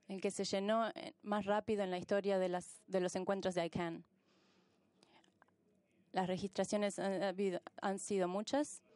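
A woman working as a simultaneous interpreter, rendering conference speeches live in halting pieces and correcting herself as she goes. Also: medium pitch, darkish tone, no room sound.

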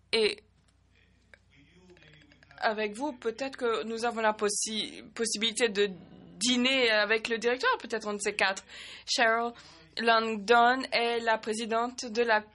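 A woman giving simultaneous interpretation at a meeting, speaking in halting bursts with frequent restarts and fillers. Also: fairly high pitch, fairly bright tone. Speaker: conference interpreter.

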